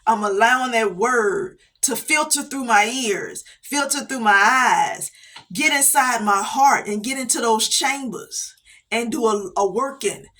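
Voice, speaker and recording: A woman's voice: on the high side, a little slow, sharp tone. Woman giving a preachy faith talk. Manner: preachy, repeats phrases for emphasis, laughs between points. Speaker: inspirational speaker.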